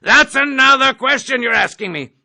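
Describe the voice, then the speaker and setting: A man's voice: very high-pitched, lively intonation, normal speed, no room sound, voice pushed up, heavily acted. A man reading an audiobook, voicing a bloated, bullying army colonel who bellows and browbeats. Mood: hectoring. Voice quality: gravelly raspy roar. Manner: hectoring, shouting, browbeating. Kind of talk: audiobook character voice.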